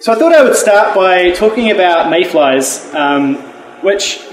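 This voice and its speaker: A man's voice: sits high, animated, unhurried. A man with a New Zealand accent, a university professor giving an enthusiastic, casual workshop talk to a live audience, with frequent ums and restarts. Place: meeting room with a live audience. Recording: echoey room with faint music.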